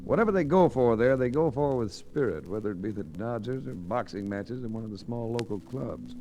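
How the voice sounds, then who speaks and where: An actor playing a cool, wry, measured detective hero in 1940s radio mystery style. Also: male voice, medium pitch, expressive, dark tone, natural voice. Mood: wry narration. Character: cool, composed male radio detective narrating his adventure. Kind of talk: 1940s radio acting, first-person narration and dialogue. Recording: faintly noisy old radio recording.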